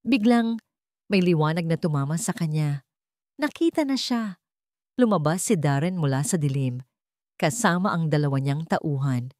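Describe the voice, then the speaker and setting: Female storyteller, lowish voice, very animated delivery, suspenseful and theatrical dramatic narration. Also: moderate pace, pauses often, no echo, clean studio sound.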